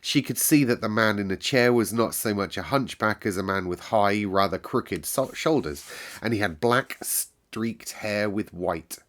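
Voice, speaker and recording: A man reading a classic novel aloud in calm, measured narration. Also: on the low side. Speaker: storyteller.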